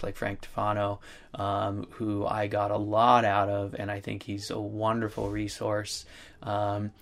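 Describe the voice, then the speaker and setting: A man speaking in a casual, halting way, rambling with many fillers and restarts. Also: lowish voice, gravelly. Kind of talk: casual conversational talk.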